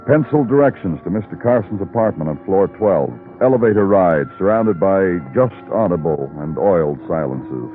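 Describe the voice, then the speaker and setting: A brooding, world-weary radio detective with a very low voice. His phrasing is terse and poetic, in the style of 1950s noir drama. The sound is a narrow, muffled old broadcast with faint music. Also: lively intonation, moderate pace, hardly any pauses. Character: brooding, world-weary male police detective who narrates in noir prose. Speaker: detective and narrator.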